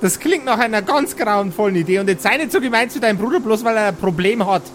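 A man's voice: high-pitched, animated, fast, slight background noise, pitched above the performer's own voice. A man voicing a chatty dwarf mother who fusses over and chides her grown sons in an improvised fantasy game. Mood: scolding. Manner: fussy, chiding.

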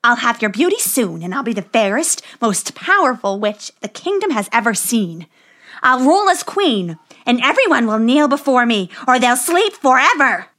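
A woman voicing a wicked witch who poses as an old woman, speaking slowly and menacingly. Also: on the high side, sing-song, fairly bright tone. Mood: gloating.

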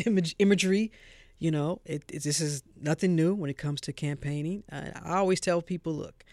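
A woman's voice: lowish voice, a little mellow, no echo. A woman hosting a call-in radio talk show, chatting with callers and guests in an easy conversational way.

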